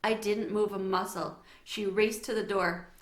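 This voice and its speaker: A woman narrating a children's story aloud in an expressive read-aloud style. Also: mid-range voice, unhurried, roomy sound.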